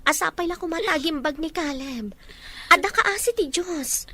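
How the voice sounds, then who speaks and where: A woman's voice: extremely high pitch, moderate pace, bright, forceful tone. A woman playing a caring young best friend in an Ilocano audio drama, coaxing and reassuring.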